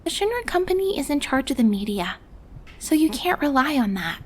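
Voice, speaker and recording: Gentle young game heroine, high lively voice, earnest, curious. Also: female voice, a little fast. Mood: puzzled concern. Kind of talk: dramatic video game acting.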